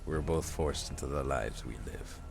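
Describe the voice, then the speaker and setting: A man playing a bitter, hard-drinking teenage bully with a sardonic, menacing manner in an audio drama. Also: normal speed. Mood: bitter, weary. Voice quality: deep, gravelly. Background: music.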